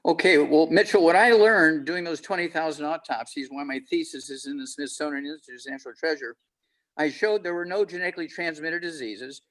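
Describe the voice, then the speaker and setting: A man's voice: fairly high pitch, a little fast, fairly bright tone, rough recording. Boastful man in his eighties. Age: 80s. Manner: boastful, rambling with many fillers. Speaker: panel guest. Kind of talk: panel discussion over video call. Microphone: video call.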